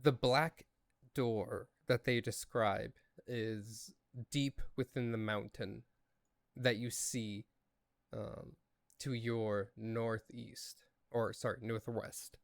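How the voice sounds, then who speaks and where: A man narrating a tabletop role-playing game as game master, with measured, deliberate phrasing. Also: mid-range voice, clean tone, no echo.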